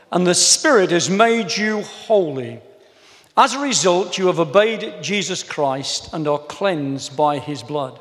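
Enthusiastic preacher in a reverberant hall. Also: male voice, sits high. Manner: animated, enthusiastic, chatty asides to the congregation. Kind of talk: sermon with scripture reading.

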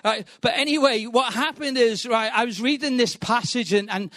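Middle-aged Liverpool man, high voice, dry close sound, quick joking guest preacher. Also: bright, forceful tone, dry recording. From England. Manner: quick, chatty, joking, punctuates with "right". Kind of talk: sermon.

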